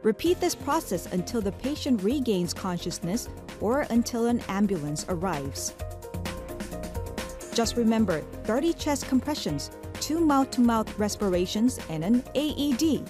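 A woman reading scripted first-aid instructions in a calm, instructive way. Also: on the high side, a little slow. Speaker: narrator of a safety announcement. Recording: music behind the voice.

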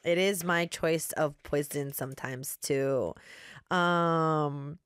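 A woman's voice: low voice, slow pace, clear, resonant tone. A woman hosting a radio music show who chats casually, reads listener messages, and laughs often. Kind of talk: casual on-air chat and story reading.

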